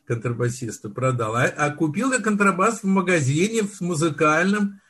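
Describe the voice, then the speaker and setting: An older man, a film director, telling his story in a relaxed interview, often restarting and searching for words. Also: fairly high pitch, normal speed, husky. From Soviet Union. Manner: reminiscing, with frequent restarts and word searches. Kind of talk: unhurried storytelling in conversation.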